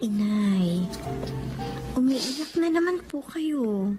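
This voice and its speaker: A woman's voice: on the high side, animated, extremely slow, loud music behind the voice. A woman playing a sorrowful, devout and steadfast widow in a Filipino radio drama, earnest and plaintive. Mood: sad, hopeful.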